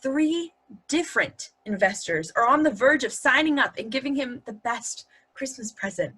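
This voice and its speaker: Actress as blunt loyal partner, dry wit, pointed, higher-pitched, sharp-edged, stage table read. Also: female voice, breathy edge, exaggerated voice, poor audio. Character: blunt, dry-witted woman fiercely defending her partner. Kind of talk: virtual table read of a stage comedy-drama.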